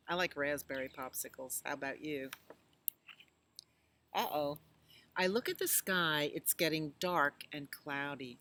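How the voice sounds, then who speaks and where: Bright-voiced woman reading slowly to children. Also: deep voice, a little hiss or hum. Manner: animated, exclamatory, asks listeners questions. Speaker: children's storytime reader at a library. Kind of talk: picture-book read-aloud with chatty asides to children.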